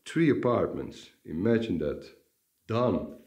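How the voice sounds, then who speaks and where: Slow-talking man in an echoey room. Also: on the low side, animated, a little mellow. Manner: slow, thinking aloud with fillers and restarts. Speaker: vlogger renovating a farmhouse. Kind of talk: casual project update vlog. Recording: roomy echo, mic at a distance.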